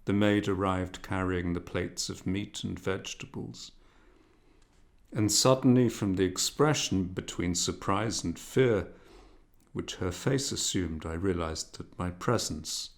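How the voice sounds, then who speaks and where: A man narrating a literary novel as an audiobook, in a measured, expressive, dramatic reading manner. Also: sits low, vocal fry.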